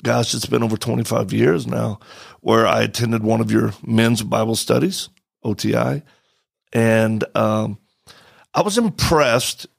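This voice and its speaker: A man's voice: on the low side, measured pace, vocal fry, slightly rough, dry recording. A man hosting a podcast in a studio, chatting casually with a guest in a joking, blunt and direct way. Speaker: podcast host. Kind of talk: casual interview chat.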